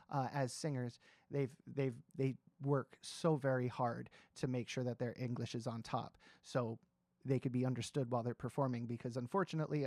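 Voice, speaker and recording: A man's voice: medium pitch, darkish tone, dry recording, clean studio sound. A man with a standard American accent giving explanatory reaction commentary as a vocal coach, often restarting and rephrasing his sentences. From United States, raised in New Jersey.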